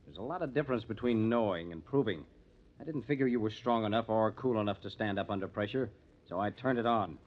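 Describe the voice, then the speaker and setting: A dull old radio recording of a man playing a shrewd police captain. He speaks low and briskly, unruffled and matter-of-fact, with dry delivery. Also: lightly acted. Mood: dry, knowing. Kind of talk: old-time radio drama acting.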